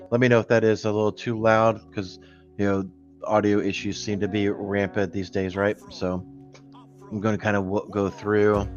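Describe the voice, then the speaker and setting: A man's voice: lowish voice, dull audio. A man guest-hosting a live cyber news stream, chatting casually with many fillers and restarts. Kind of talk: casual livestream chat.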